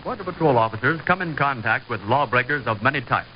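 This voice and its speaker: A man's voice: medium pitch, animated, quick pace, over loud music. A man narrating a scripted vintage radio series in a formal, declamatory announcer manner. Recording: dull, hissy vintage radio recording.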